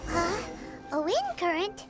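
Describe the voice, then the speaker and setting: A woman voicing a tiny, excitable childlike fairy girl for a video game, with a squeaky, inquisitive delivery. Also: measured pace, over loud music. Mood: startled. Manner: exclamatory, inquisitive. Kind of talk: video game character acting.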